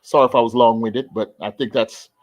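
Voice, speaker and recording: A middle-aged man from the Caribbean, a guest who tells stories in a relaxed, talkative way on a casual remote podcast chat. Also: mid-range voice, fairly quick, no echo. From the Caribbean; born in the Bahamas, grew up in Barbados.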